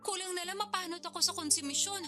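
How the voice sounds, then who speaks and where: Music plays under a very high female voice with a Filipino accent. An actress plays a pushy big sister from the province in a radio drama. She needles, complains and wheedles for money. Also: fairly even intonation, quick pace. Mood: pleading, wheedling. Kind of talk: Tagalog radio-drama phone dialogue. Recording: music bed behind the voice.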